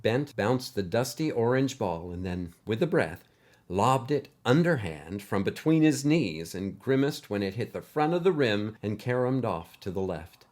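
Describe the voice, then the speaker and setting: An expressive male storyteller with a fairly low voice that moves widely up and down as he reads a scripted tale in an animated manner. He shifts his delivery to suit the characters. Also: unhurried. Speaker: storyteller and show host.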